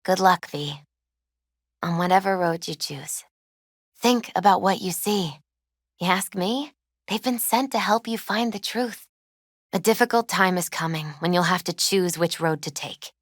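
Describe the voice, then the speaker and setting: A woman playing a gentle, earnest young tarot reader in a video game, with thoughtful, hesitant phrasing. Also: fairly low pitch, unhurried, no room sound, clean studio sound. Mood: earnest, solemn. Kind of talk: naturalistic video game dialogue acting.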